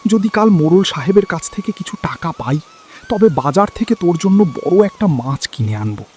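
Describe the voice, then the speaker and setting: A man's voice: high-pitched, big pitch swings, brisk, a little mellow, slight background noise. Man voicing a plaintive poor labourer, swooping intonation. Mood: tender. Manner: plaintive, lamenting. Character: weary, gentle, self-pitying poor village labourer father. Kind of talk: dramatized cartoon story acting.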